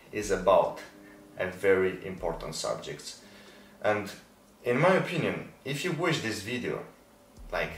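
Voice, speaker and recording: Low-voiced Moldovan man, roomy sound. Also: fairly bright tone. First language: Romanian and Russian. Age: 20s. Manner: emphatic, repeats words for stress. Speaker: fitness content creator and athlete. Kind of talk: casual explanatory talk to camera. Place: indoor room, decorated for Christmas. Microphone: small lapel microphone. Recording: roomy echo, distant mic, faint noise.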